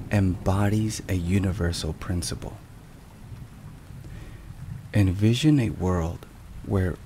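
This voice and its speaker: Deep, slow male ASMR narrator over rain. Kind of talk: ASMR scripted devotional narration. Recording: rain and thunder noise. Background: thunderstorm and rain sounds.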